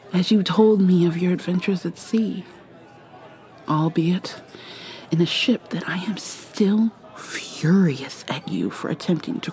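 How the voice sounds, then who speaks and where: A woman voicing an ageless, secretive female vampire mermaid in an ASMR roleplay, soft-spoken and often whispering, with halting restarts. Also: fairly low pitch.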